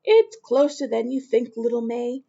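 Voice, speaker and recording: A woman's voice: a little high, lively intonation, normal speed, creaky, dark tone, dull audio. A woman voicing a young girl's reassuring father, speaking with emphasis in a storybook reading.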